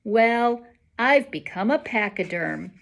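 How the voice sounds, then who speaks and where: Woman reading as a serious, measured school boy. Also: fairly high pitch, lively intonation, creaky, full character voice. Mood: earnest, measured. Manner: measured, matter-of-fact. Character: quiet, observant, serious bookish school boy.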